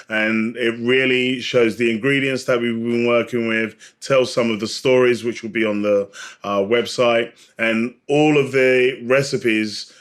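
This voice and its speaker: A man speaking as an interview guest, explaining and telling history in a conversational, searching way. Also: medium pitch. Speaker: podcast guest, bartender and author.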